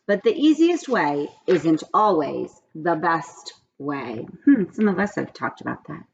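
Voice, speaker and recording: A woman reading aloud to children in an expressive, friendly storytelling style. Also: sits low, measured pace, gravelly, muffled recording, slight background noise. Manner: friendly, addressing children directly. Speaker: read-aloud teacher.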